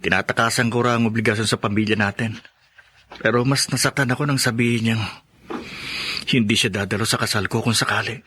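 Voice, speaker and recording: A man's voice: mid-range voice, steady tone, a little fast, sharp tone, slight background noise, close to an everyday voice. A man playing a hurt, dutiful adult son confiding plaintively in a radio drama. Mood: troubled, confiding. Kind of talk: Filipino radio drama acting.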